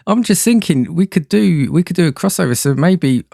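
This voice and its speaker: A man's voice: on the high side, expressive, a little fast, vocal fry, mellow, dry recording, studio quality. A man chatting casually as a podcast guest, hesitant and rambling, often repeating words as he goes. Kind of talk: casual chat about films and games.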